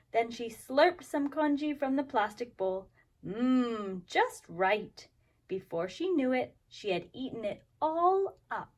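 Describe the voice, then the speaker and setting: A woman talking and reading aloud expressively to young children as a kindergarten teacher, explaining things simply. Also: on the high side. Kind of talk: children's story time, expressive read-aloud.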